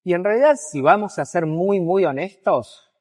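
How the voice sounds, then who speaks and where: A man's voice: high voice, lively intonation, moderate pace, darkish tone. An Argentine man giving explanatory interview answers as a psychiatrist, in a didactic way with emphatic repetition and rephrasing.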